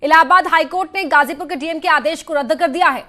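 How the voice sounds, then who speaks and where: High-pitched woman news anchor. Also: fast talker, sharp tone. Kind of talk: scripted news bulletin narration.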